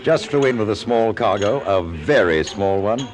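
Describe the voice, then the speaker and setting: An actor playing a genial, principled British air freight operator in 1950s radio drama, politely spoken. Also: male voice, on the low side, animated, moderate pace, lightly acted. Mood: genial, reasonable.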